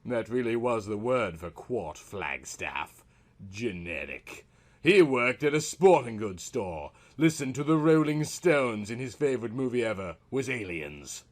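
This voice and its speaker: A man's voice: medium pitch, very animated, a little slow. A man narrating a scripted comic story in a melodramatic, mock-serious manner.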